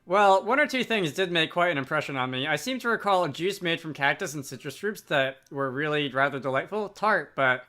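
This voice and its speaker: Man reading as a gentle, polite young princess. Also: on the high side, lively intonation, a little fast, hardly any pauses. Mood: gentle, thoughtful.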